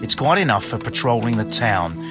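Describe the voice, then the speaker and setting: An actor playing a sarcastic armoury keeper in a medieval video game, dry and mocking. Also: male voice, mid-range voice, sing-song, fairly quick, over music, lightly acted. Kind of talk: video game cutscene acting.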